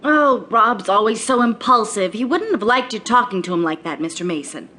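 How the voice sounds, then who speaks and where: Willful, sulky young heiress. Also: female voice, medium pitch, sing-song, normal speed, pushed and bright. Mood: guarded. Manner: insistent, petulant, terse. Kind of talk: radio drama acting.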